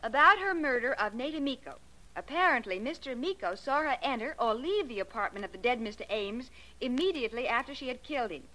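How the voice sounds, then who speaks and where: An actress playing an eager, adoring American secretary to a detective, perky and deferential in 1940s radio drama style. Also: female voice, on the high side, expressive, fast talker, pushed and bright, poor audio. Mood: reading notes.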